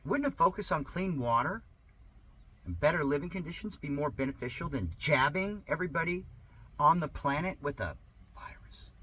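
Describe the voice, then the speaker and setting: A man reading an article aloud in a sarcastic, theatrical manner, with drawn-out emphasis and muttered asides. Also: a little high, lively intonation, fairly bright tone, slightly rough. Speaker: amateur reader of an article.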